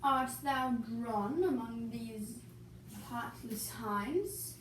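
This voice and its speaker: A young woman giving an amateur, theatrical reading of Shakespearean dialogue for a puppet show. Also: a little high. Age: young adult. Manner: theatrical, reciting archaic dialogue slowly. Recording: echoey room, distant mic, hissy noise.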